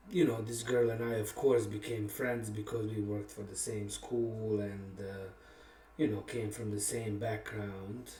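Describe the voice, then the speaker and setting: Low-voiced man telling a candid story, roomy. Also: a little mellow. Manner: reflective and candid, with many pauses and fillers, laughing at himself. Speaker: songwriter and musician. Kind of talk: casual personal storytelling about a song. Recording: roomy sound from a distant mic.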